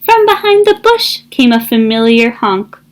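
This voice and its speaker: A woman narrates a children's picture book in a fairly high voice. Her delivery is very unhurried, deliberate and expressive, as in a read-aloud for young listeners. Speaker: school counselor reading aloud.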